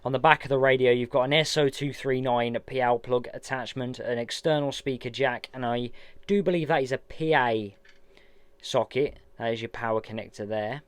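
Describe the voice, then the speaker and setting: Chatty man, smooth close voice. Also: mid-range voice, normal speed, no echo. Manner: chatty, hands-on, narrating each step. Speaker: vlogger unboxing radio gear. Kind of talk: casual product unboxing walkthrough.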